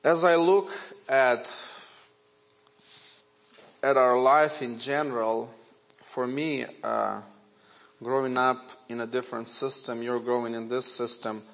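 Slow-speaking man with a Ukrainian accent. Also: medium pitch, fairly even intonation, fairly bright tone. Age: 40s. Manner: earnest, measured storytelling. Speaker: guest preacher. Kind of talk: sermon with personal testimony.

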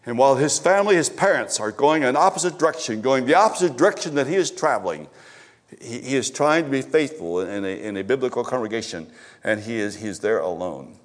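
A man preaching a sermon at a church service, swinging from emphatic exhortation to low, stammered asides. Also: lively intonation, a little fast. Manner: rises to emphatic exhortation, then drops low, with stammered repetitions.

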